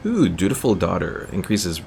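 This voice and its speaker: A man's voice: sits low, huge pitch swings, normal speed, gravelly, crowd noise. A man casually commentating a strategy game as he plays, thinking aloud and reading game text out. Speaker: gaming streamer. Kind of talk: casual let's-play commentary.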